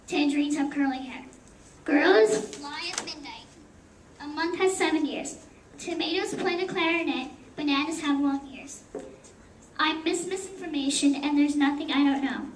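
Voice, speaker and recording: A woman's voice: high voice, normal speed, natural voice. A teenage girl playing a proudly silly female know-it-all, declaiming rhyming verse in a sing-song, theatrical way. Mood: proud, gleeful. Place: indoor room at a library performance. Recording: roomy, distant mic, faint noise.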